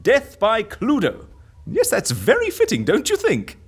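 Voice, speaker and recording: An actor playing an excitable, scheming man in a comedy audio drama, with a theatrical, musing and breezily unconcerned delivery. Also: sits high, unhurried, breathy edge, exaggerated voice. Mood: excited, commanding. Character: excitable scheming man running a death-faking agency.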